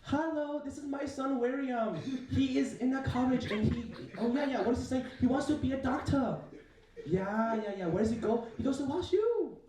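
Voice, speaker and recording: A man's voice: extremely high pitch, animated, moderate pace, heavy reverb, recorded from a distance, crowd noise, pitched above the performer's own voice, low-quality recording. A young man voicing his nagging, bragging Chinese immigrant mother with a Chinese accent and broken, sing-song English. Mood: boastful.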